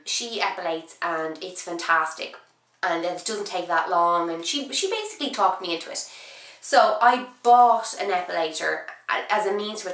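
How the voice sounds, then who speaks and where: A young woman with a cold, talking casually and at length as a beauty vlogger, her voice husky from being ill, with many ums and restarts. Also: fairly low pitch, fairly bright tone, reverberant, low-quality recording. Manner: chatty, rambling, frequent "um" and restarts. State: sick, voice husky and low. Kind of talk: casual chat to camera.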